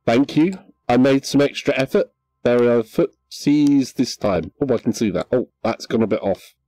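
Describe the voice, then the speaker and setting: A man chatting casually on a VR game livestream, relaxed and hesitant, with many ums. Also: mid-range voice, moderate pace, dry recording. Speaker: livestream gamer.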